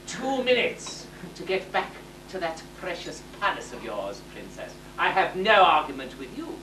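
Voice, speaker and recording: A woman's voice: lowish voice, sing-song, bright, forceful tone. A woman playing a menacing, haughty pantomime villain who drawls out threats, on an amateur stage. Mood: threatening. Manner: haughty, taunting, drawn-out threats. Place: amateur stage show in a hall, audience behind. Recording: echoey hall from far off, noisy audience, hum.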